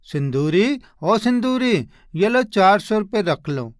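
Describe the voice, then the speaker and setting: A man voicing a poor, humble woodcutter husband in an animated moral story, earnest and expressive. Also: high-pitched, slow, no room sound, clean studio sound, full character voice. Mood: earnest, pleading.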